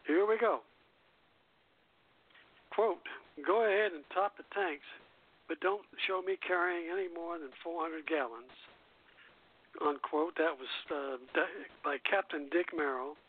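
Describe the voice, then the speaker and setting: A man hosting a casual radio show, reading out quotes in a halting way. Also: fairly high pitch. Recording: phone-like narrow-band radio sound.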